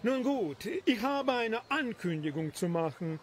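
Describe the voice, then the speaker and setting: A man's voice: high voice, sing-song, unhurried. A man acting a haughty count's servant in an audio drama, with pompous, stilted courtesy. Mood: pompous, formal.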